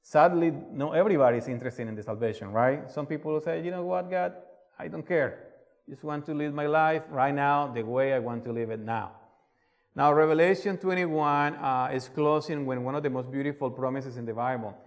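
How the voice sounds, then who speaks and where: A man's voice: a little high, fairly quick. A man from Colombia with a Colombian Spanish accent, preaching a sermon and reading scripture, earnestly asking his listeners questions. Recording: long hall reverb.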